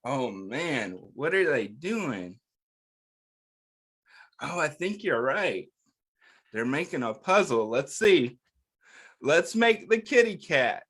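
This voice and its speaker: A man with a fairly high voice hosts storytime for little kids at a very unhurried pace. He reads in simple phrases and speaks straight to the children, asking questions and answering them in an encouraging way. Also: big pitch swings, fairly bright tone, slightly rough. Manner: talks directly to young listeners, asking questions and answering them. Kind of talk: interactive picture-book read-aloud for young children.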